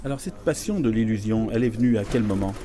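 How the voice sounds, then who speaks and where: A man's voice: lowish voice, expressive, quick pace, dark tone. A man hosting a radio interview, asking brief, friendly questions. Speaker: radio host. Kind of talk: casual interview.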